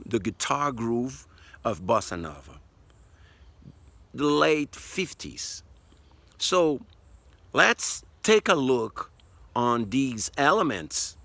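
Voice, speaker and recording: Slow-spoken man teaching percussion. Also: mid-range voice, a bit bright, dry recording. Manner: slow, explanatory, counting beats aloud. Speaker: music teacher. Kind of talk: demonstrating percussion outdoors. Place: outdoors by a tree.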